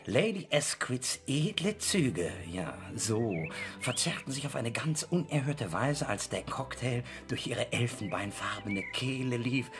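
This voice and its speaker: An actor in a radio play playing a grumpy village constable who pompously dictates a crime novel, halting to correct himself. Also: male voice, mid-range voice, big pitch swings, music in the background. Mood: grumpy, dramatic.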